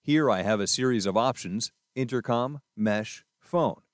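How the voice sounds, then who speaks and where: A man's voice: medium pitch, measured pace, no echo, studio quality. A man presenting a product tutorial, narrating scripted instructions step by step in a methodical way.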